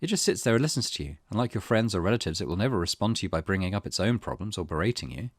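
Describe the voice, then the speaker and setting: A man's voice: on the low side, big pitch swings, racing, breathy edge, no echo. A man voicing an earnest, plain-spoken female manager, reading her interview quotes from a visual novel. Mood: sincere, concerned.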